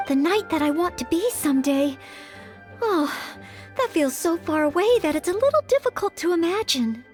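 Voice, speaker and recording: A woman's voice: extremely high pitch, very animated, normal speed, soft background music. A woman playing an earnest, polite young maid who dreams of knighthood, in a light girlish voice for a video game. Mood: thoughtful, unsure. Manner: polite, earnest, slightly hesitant.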